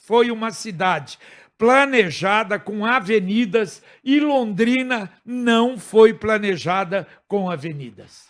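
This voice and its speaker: Man, from Minas Gerais, very high voice, radio studio host, chimes in briefly. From Minas Gerais, Brazil. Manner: asks long rambling questions, chimes in briefly. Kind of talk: radio interview talk.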